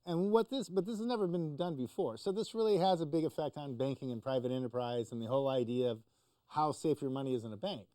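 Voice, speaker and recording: A man's voice: mid-range voice, fast talker, warm tone, no echo. A man explaining the news conversationally as a talk show commentator, in a hesitant, informal way.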